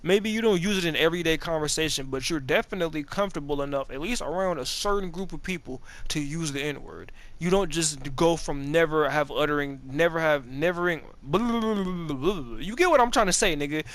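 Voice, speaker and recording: A man's voice: on the high side, fairly quick, hardly any pauses, a bit bright. A man of about twenty talking casually and profanely over gameplay, rambling with many restarts and slang. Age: 20s. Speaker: gaming video creator.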